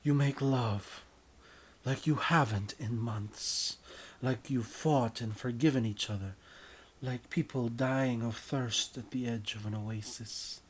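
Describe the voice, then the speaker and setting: A man narrating a scripted story in the second person, deliberate and often dropping softer at the ends of phrases. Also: mid-range voice, breathy edge. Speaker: writer and narrator.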